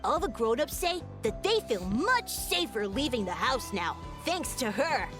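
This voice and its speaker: Chatty, lively-pitched young child. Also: female voice, a little slow, music in the background. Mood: matter-of-fact. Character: matter-of-fact young child in a fantasy game.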